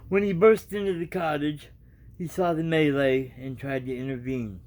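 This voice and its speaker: A man reading aloud and giving his reaction as a vlogger, in an informal, halting, rambling way. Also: on the high side, fairly even intonation, darkish tone.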